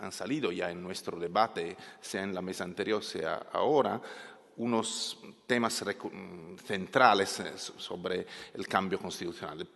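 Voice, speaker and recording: A man's voice: deep voice, creaky, a bit bright. A man whose first language is not Spanish, speaking hesitantly as a panelist at an academic panel, with many fillers and restarts. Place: large conference hall. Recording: echoey hall.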